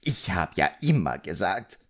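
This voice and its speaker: A man's voice: deep voice, sing-song, a little slow, a bit bright, exaggerated voice. A man voicing an indignant, demanding sorcerer in an audiobook, with a scolding manner. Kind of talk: audiobook character acting.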